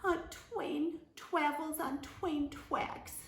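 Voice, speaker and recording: A woman's voice: fairly even intonation, very slow, gravelly, roomy sound, distant mic, exaggerated voice. A woman voicing a tiny, painfully shy storybook rat boy who mumbles and says W for R. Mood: shy, miserable. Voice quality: squeaky, small voice.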